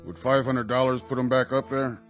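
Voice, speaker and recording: A man's voice: mid-range voice, little pitch movement, fairly quick, faint music behind, natural voice. An actor playing a solemn, self-important town sheriff who hedges to hide his cowardice, in a radio drama. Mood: uneasy, blustering.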